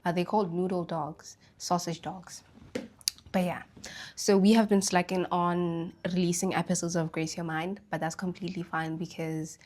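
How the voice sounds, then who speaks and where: A young woman from Botswana hosting a casual solo podcast, her throat slightly raspy from the flu, rambling in a relaxed way about life and spirituality. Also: lowish voice, normal speed. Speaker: podcast host.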